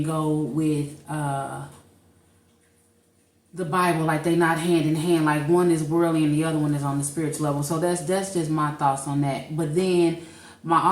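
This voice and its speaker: A woman's voice: deep voice, reverberant. A woman from St. Louis co-hosting a casual podcast, thinking aloud and restarting her sentences as she goes. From St. Louis, Missouri. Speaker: podcast co-host. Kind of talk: casual chat.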